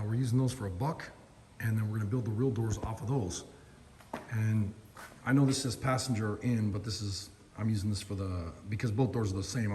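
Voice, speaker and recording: A hoarse middle-aged man hosting a casual, rambling walkthrough of his metal fabrication shop, filmed on a handheld phone. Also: on the low side, a little mellow. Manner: rambling, filler-heavy, plainspoken. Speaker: host. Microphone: phone camera held in hand. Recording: reverberant shop with faint background noise.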